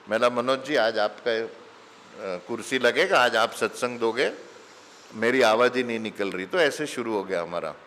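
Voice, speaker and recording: Spiritual teacher, man, middle-aged, unhurried storytelling sermon, mid-pitched, long hall echo. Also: fairly bright tone, a little hiss or hum. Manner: slow, mixes English phrases into Hindi. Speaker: spiritual teacher giving satsang. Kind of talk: conversational sermon with anecdotes.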